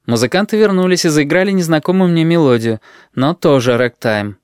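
A man calmly narrating a first-person audiobook in a measured storytelling manner. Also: a little high, clear, resonant tone, no echo, clean studio sound, faint music behind.

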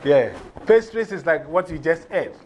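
Male teacher, Ghanaian accent, high voice, directive and repetitive, informal classroom delivery, faint noise behind. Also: animated, moderate pace, lo-fi sound. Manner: directive, repeats instructions. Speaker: teacher of a drumming and English class.

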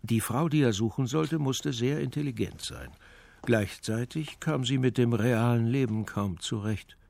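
A man's voice: mid-range voice, unhurried, gravelly, a little mellow. A male actor playing the sober, matter-of-fact narrator of a detective story in a scripted radio play.

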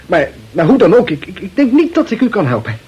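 An actor playing an evasive, jokey newspaper reporter in a radio play, stammering and repeating himself nervously. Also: male voice, high voice, wildly swooping pitch, quick pace, a little mellow, husky, lo-fi sound, full character voice. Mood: glib, amused. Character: evasive, flustered, jokey male newspaper reporter hiding a guest. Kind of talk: Dutch radio play acting.

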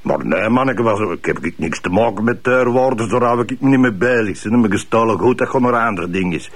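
Deep-voiced cocky Flemish crook. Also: male voice, a little fast. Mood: irritated. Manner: brash, slangy, grumbling, flippant. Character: cocky, evasive petty crook, a male police suspect. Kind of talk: improvised radio drama interrogation.

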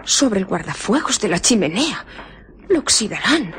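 An actress playing a nosy, chatty, easily flustered village innkeeper in a radio drama, muttering exclamatory asides to herself. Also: female voice, medium pitch, big pitch swings, normal speed, a bit bright, a little hiss or hum.

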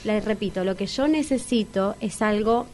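A woman playing a brisk, polite matchmaking agency representative in a radio comedy, formal and salesy, with a Rioplatense Argentine accent. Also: mid-range voice, darkish tone, slight background noise, close to an everyday voice. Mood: patient, firm. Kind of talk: radio comedy sketch acting.

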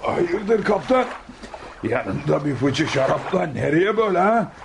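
A man's voice: fairly high pitch, expressive, unhurried, creaky, background noise. An actor in a radio play voicing a surprised, inquisitive ship's crewman. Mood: puzzled, surprised. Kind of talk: radio theatre acting.

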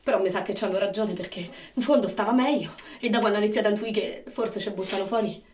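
Actress as stubborn, protective Roman carer, fast and colloquial, radio drama with a roomy, echoing sound. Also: female voice, medium pitch, darkish tone, natural voice. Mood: worried, apologetic. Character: worried, protective, stubbornly defiant Roman woman, a caregiver. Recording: roomy, distant, noisy microphone.

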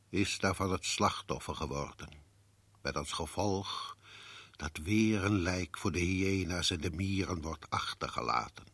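A deep-voiced man narrates in a slow, grave, measured way. His voice is husky and dry, recorded close with no room sound. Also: a bit bright, no echo. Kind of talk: scripted radio play narration.

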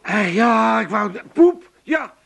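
A man's voice: sits high, very animated, takes it slowly, creaky, a bit bright, exaggerated voice. An actor playing a querulous, wheedling old father in a comic Dutch radio play, stammering and repeating himself with an Amsterdam accent. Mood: disgusted, insistent. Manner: stammering, repetitive, theatrical.

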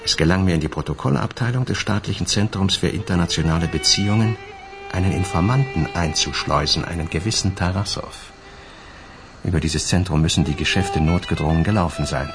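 A world-weary male investigator in a radio crime drama. His voice sits very low and he lays things out deliberately and with gravity, while music plays clearly behind him.

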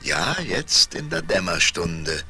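A man's voice: low-pitched, sing-song, slow pace, over music. A man narrating a children's fairy tale in scripted storyteller style, on a 1970s vinyl recording.

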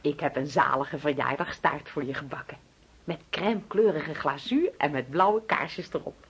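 An actress in a radio drama playing a doting, controlling mother who fusses and coaxes with sweet insistence in a husky voice. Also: low-pitched, normal speed, full character voice. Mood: gushing, excited. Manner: coaxing, fussing, overbearing.

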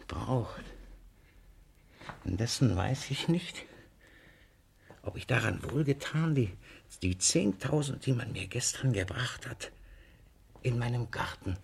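An actor playing a paranoid, irascible old miser in a German radio comedy, raspy, blustering and suspicious, often muttering to himself. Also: male voice, fairly low pitch, slight background noise. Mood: anxious soliloquy. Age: older. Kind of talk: German stage-comedy radio play acting.

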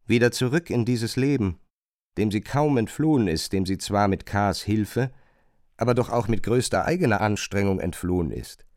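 A man narrating a literary radio play, retelling a chambermaid's grievances in an expressive, emphatic way. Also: lowish voice, moderate pace, no echo, clean studio sound, natural voice. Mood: engaged, aggrieved.

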